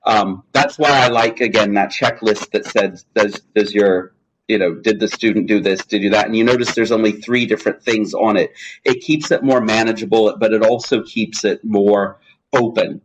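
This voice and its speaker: A man's voice: lowish voice, normal speed, slight background noise, poor audio. A man speaking as a guest in a webinar, answering questions in a chatty, jokey way with many restarts. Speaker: guest speaker on language testing.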